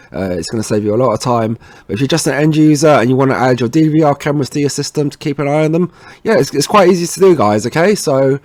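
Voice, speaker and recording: A man's voice: medium pitch, darkish tone. A man presenting a casual how-to tutorial, informal and reassuring, often checking in with little tag words.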